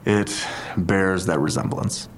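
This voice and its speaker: An actor playing a skeptical police detective in an audio drama, talking in a terse, dry, deliberate way. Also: male voice, sits low, a little hiss or hum. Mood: pensive, skeptical.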